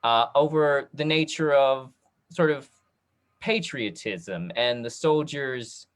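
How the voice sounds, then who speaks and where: A man moderating a panel discussion, speaking in hesitant, halting phrases. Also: mid-range voice. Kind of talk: hosting a talk-back discussion. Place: virtual video call.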